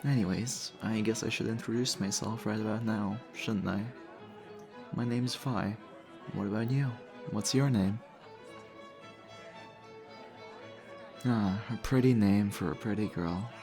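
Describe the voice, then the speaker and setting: A man's voice: fairly low pitch, moderate pace, gravelly. A man playing a charming, teasing vampire host in an intimate, conversational roleplay style. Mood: suave, curious. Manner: flirtatious, suave, conversational. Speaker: audio roleplay voice actor. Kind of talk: intimate ASMR roleplay acting. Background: background music.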